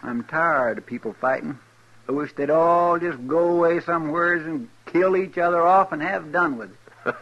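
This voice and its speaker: Folksy, grumbling frontier sidekick, muffled recording. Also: male voice, a little high, moderate pace, a bit bright. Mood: rambling, weary. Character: folksy, rambling, grumbling male marshal's sidekick. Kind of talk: old-time radio western acting. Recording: dull old radio recording.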